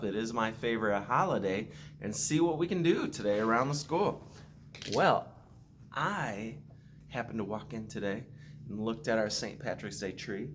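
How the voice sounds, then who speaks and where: A man's voice: lowish voice, a little hiss or hum. A man reading a children's storybook aloud expressively, with playful asides. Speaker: school staff member reading aloud to children.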